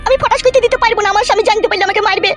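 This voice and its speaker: A woman's voice: extremely high pitch, racing, music in the background. A woman playing a put-upon young wife and tenant in a comic cartoon, evasive and protesting.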